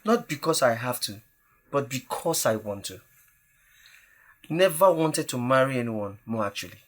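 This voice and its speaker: A man playing the groom's earnest, apologetic young friend in an audio drama, explaining himself in a measured way. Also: mid-range voice, expressive, natural voice.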